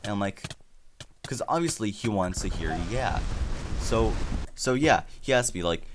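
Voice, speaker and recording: A man's voice: lowish voice, lively intonation, unhurried, noisy background. A teenage boy whose first language is Spanish, telling a rambling, self-deprecating story while playing a game, often stammering and restarting. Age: teens. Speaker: YouTuber.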